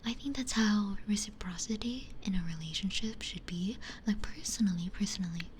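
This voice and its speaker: Whispering woman doing soft ASMR chat. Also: medium pitch, a little fast. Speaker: ASMR streamer. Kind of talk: whispered ASMR casual chat with stream viewers. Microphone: close binaural ASMR microphone. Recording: faint hiss and ambient noise.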